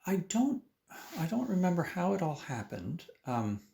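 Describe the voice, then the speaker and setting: Male violin teacher, interview talk, gravelly edge, swinging pitch. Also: normal speed, faint music behind. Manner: animated, acts out his own past remarks, frequent restarts.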